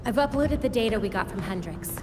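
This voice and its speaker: An actress playing a decisive young female vigilante who talks briskly, in a game cutscene. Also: mid-range voice, lively intonation, natural voice. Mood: focused. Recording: reverb with music score.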